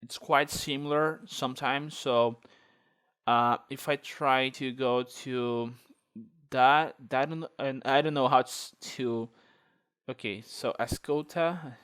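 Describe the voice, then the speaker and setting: Man, Portuguese accent, native Portuguese speaker, unhurried, smooth clear voice, tutorial walkthrough, lots of fillers. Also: mid-range voice, steady tone, a bit bright. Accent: Brazilian Portuguese. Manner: unhurried, thinking aloud with many fillers. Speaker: tech video host. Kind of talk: screen-recorded tutorial walkthrough.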